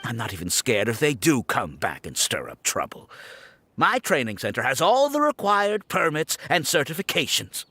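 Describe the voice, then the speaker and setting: An actor playing a smug, scheming architect in a video game, speaking in a condescending, self-justifying way. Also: male voice, mid-range voice, huge pitch swings, normal speed, pushed and bright, slightly rough, heavily acted. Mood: dismissive, defensive. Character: smug, scheming male architect running a scam academy. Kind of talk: theatrical video game voice acting. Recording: game audio with background music.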